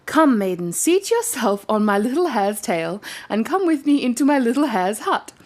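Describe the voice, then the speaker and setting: A small male hare from a bedtime storybook, played by a woman, insistent and eager for a bride. The voice sits high and urges in a repeating sing-song. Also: very animated, normal speed, a little mellow. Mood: coaxing. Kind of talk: storybook character read aloud.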